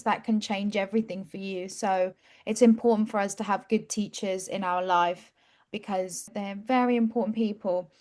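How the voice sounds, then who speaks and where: A woman hosting an interview about learning English, asking questions and encouraging her guest, often saying "wow". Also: medium pitch.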